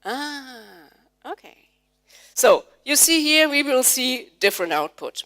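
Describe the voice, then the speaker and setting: German-native woman teaching code at a conference, clear smooth voice, asks the crowd stuff. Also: high-pitched, very animated, slow, a bit bright. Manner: explanatory, polls the audience with questions. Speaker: conference speaker, Java trainer. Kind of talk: live technical conference talk. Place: conference hall before an audience.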